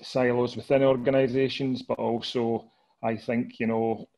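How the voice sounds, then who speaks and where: Scottish man, Glasgow accent, monotone, earnest caller on a video meeting. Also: mid-range voice. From Scotland. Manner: earnest, measured, many filler pauses. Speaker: audience member asking a question. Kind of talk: video call question. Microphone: headset on a video call.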